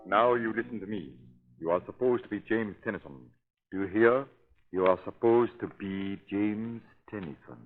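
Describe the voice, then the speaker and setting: A man acting a cold, domineering villainous hypnotist with a commanding, deliberate delivery in 1930s radio style. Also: lowish voice, over music, natural voice. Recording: muffled old 1930s radio recording.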